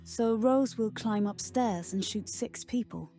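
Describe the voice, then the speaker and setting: Woman playing a slow, wary lady occultist. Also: on the high side, dark tone, soft background music. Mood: skeptical, measured. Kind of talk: audio drama acting.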